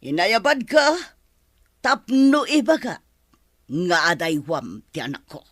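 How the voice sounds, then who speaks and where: A woman's voice: on the low side, sing-song, slow, fairly bright tone, no room sound, studio quality, exaggerated voice. A woman playing a stern, bossy madam and mother in a radio drama, commanding and scolding, with music behind her. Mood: stern insistence. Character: stern bossy mother and household madam opposing daughter's romance. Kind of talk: Ilocano radio drama acting.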